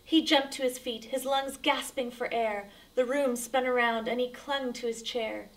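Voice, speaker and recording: A woman's voice: fairly high pitch, fairly even intonation, normal speed, recorded from a distance, rough recording. A woman reading a rhyming picture book aloud to children as a storyteller, with dramatic, emphatic delivery.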